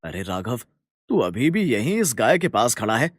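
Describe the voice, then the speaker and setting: A man voicing a kind, earnest young village farmer in a cartoon story, with a colloquial, animated manner. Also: medium pitch, normal speed, no room sound, clean studio sound. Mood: friendly, concerned. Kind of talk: Hindi cartoon story dialogue.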